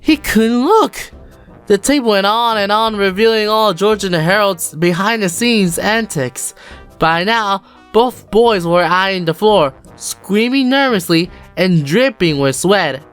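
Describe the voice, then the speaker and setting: A woman narrating a children's storybook in a lively, expressive read-aloud manner. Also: mid-range voice, slow, clear, resonant tone, faint music behind. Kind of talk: storybook reading.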